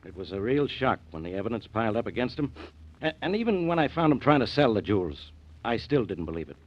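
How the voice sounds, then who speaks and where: Blustery, swooping 1940s radio police inspector. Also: male voice, medium pitch, sing-song, fast talker. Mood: regretful, defensive. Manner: blustery, emphatic. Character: blustering, gloating, short-tempered male police inspector. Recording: dull old 1940s radio recording.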